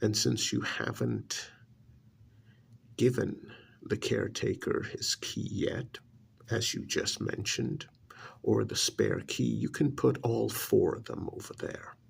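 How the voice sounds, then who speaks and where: A man playing a haughty, irritated older billionaire uncle in an ASMR roleplay, gravelly and breathy, talking down in drawn-out, sarcastic phrases. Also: lowish voice, exaggerated voice. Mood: bitter sarcasm. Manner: slow, condescending, drawn-out sarcasm with pauses.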